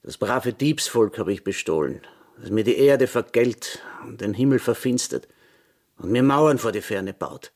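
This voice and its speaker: An embittered vagrant thief, gravelly and husky, with sweeping intonation. He declaims insistently in literary radio drama style. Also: mid-range voice, lively intonation, unhurried, darkish tone. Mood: indignant. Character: embittered male vagrant thief yearning for freedom.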